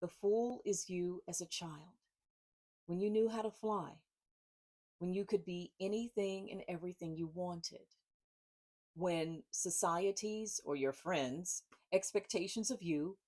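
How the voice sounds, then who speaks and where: Lower-pitched woman reading and presenting expressively. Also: measured pace, mellow. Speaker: author reading from own book. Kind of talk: informal talk and expressive book reading to camera.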